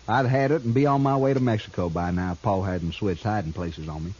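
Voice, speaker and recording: An actor playing a sly, self-assured, greedy frontier outlaw with a sardonic swagger, in 1950s radio drama style. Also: male voice, lowish voice, a little fast, darkish tone, slightly rough. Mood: wry, bitter. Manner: taunting, conversational swagger. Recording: scratchy, muffled 1950s radio recording.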